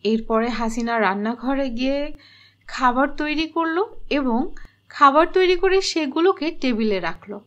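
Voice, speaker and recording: A woman's voice: high voice, expressive, a little slow, muffled recording. A woman narrating a scripted storybook tale.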